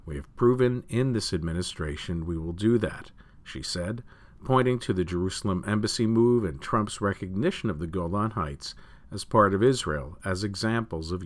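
A man with a fairly low voice reading news articles aloud as a news reader.

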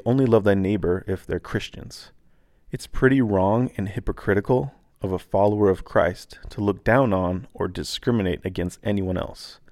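A man who grew up in Taiwan speaks in a low, unhurried register, sharing a personal reflection on his podcast. His tone is calm and earnest, like a laid-back guy talking plainly. The recording is dry and close, with no room sound at all. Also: no room sound. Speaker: podcast host.

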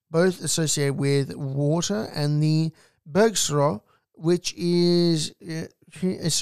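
A man's voice: on the high side, clear, resonant tone, no room sound, studio quality. A man hosting a solo podcast, narrating with strong emphasis and speaking directly to the listener with asides. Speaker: podcast host.

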